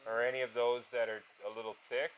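A man giving relaxed, hands-on instruction while working outdoors in a forest camp, with soft chuckles as he explains. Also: medium pitch, quick pace, slight background noise, rough recording. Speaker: canoe builder.

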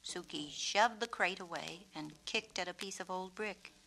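A woman reading a children's storybook as narrator, with a measured, expressive delivery. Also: lowish voice, low-quality recording. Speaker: author and narrator.

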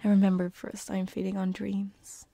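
A woman playing a gentle, teasing sleep paralysis demon in a whispered ASMR roleplay, close on a binaural microphone, with a breathy, hesitant delivery. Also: medium pitch, monotone, dry recording. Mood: savoring, playful. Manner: slow, soothing, hesitant, teasing.

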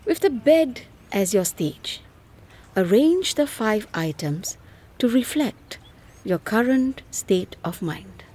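A woman narrates as a guide through an audio art piece. Her voice is fairly high and husky with breath, and she gives each instruction at an unhurried, slow pace. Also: lively intonation, dark tone.

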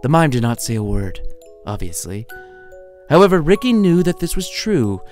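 Guy telling a story, dramatic n playful, really animated pitch, music behind him. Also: moderate pace. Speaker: author reading his own short story. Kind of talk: scripted storytelling narration. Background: music under the voice.

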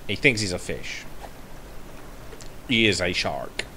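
A man's voice: low-pitched, expressive, slow, pushed and bright, slight background noise. A man in his thirties chatting to himself as he plays a game, on a headset mic. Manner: chatty, talks to himself while playing. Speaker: streamer. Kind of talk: casual gameplay commentary. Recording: game music under the voice.

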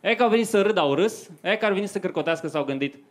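A man's voice: sits high, lively intonation. A young man performing stand-up comedy in a conversational storytelling manner into a handheld microphone on a club stage. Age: young adult. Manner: conversational storytelling to a live audience. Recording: faint noise, live club stage recording.

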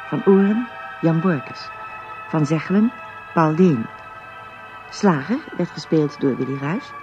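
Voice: mid-range voice, highs cut off. A radio drama announcer reading the closing credits in a scripted, formal style.

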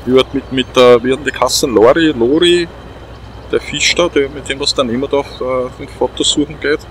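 A man's voice: medium pitch, moderate pace, slight background noise, low-quality recording. A middle-aged man with an Austrian accent, hosting a let's play in casual, chatty, repetitive phrasing. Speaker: host of a gaming let's play. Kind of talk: casual chat commentary over a game.

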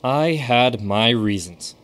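A man's voice: medium pitch, animated, slow pace, fairly bright tone. An actor playing a famous stage magician with a secretive showman's flair in a radio drama. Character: famous stage magician, now a ghostly guide.

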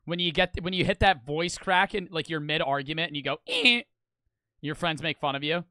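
A young man streaming casually, talking fast and animated with lots of swearing, close to the mic. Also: on the high side, no echo.

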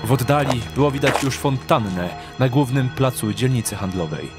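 A man's voice: mid-range voice, animated, normal speed. A man narrating a dramatic audio drama from a script. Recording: clear music behind the narration.

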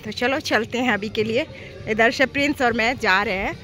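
A middle-aged woman chats straight to her viewers through a phone held out at arm's length. Her voice sits high. Loud background music plays under her outdoor night recording. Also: moderate pace. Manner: chatty, talks straight to viewers. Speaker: vlogger. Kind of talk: casual travel vlog chat. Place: outdoor cafe and street at night. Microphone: phone camera held at arm's length.

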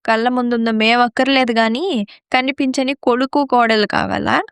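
A resentful young daughter-in-law played by a woman with a sharp tongue. Her voice is fairly high and crisp, recorded dry for a family story. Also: fairly even intonation, moderate pace, no room sound. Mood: defiant, accusing. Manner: accusing, defiant.

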